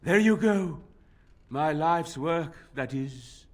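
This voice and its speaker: A man's voice: on the high side, expressive, slow pace. A man playing a fussy perfectionist master armorer in a video game, dramatic, self-important and given to exclaiming. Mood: excited, proud.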